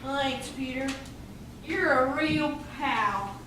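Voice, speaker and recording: A woman's voice: sits high, extremely slow. Woman doing a drunk belligerent guy, radio play, roomy and far from the mic. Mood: boozy. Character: drunk, belligerent hothead man, whining and loud. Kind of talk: amateur radio play acting. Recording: very echoey room, distant mic, faint hiss.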